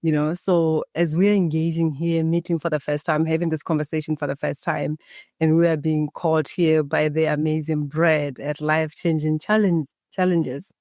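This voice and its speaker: Calm guiding woman from Botswana, Setswana native. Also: sits low, normal speed, no room sound, studio quality. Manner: calm, guiding, speaks as if leading a meditation. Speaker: guest, embodiment coach. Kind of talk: podcast interview, reflective storytelling.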